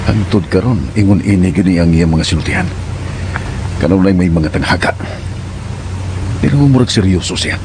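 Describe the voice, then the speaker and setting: An actor playing a concerned, puzzled office boss in a radio drama, speaking conversationally with a Cebuano Filipino accent and some English. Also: male voice, low-pitched, animated, normal speed, long pauses, noisy background. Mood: pensive. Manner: earnest, conversational, code-switches into English.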